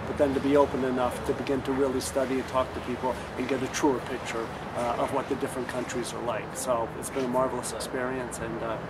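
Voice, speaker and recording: A man leading a landscape architecture student program, explaining it at length with many fillers. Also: mid-range voice. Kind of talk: on-site interview. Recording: noisy outdoor street recording.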